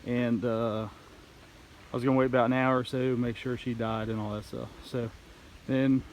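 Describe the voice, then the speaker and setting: A man telling a story casually in a halting, rambling way, like an outdoor vlog host talking to the camera. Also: mid-range voice, level tone. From United States. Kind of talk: casual play-by-play hunting storytelling. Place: outdoors in woods by a creek. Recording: noisy outdoor ambience.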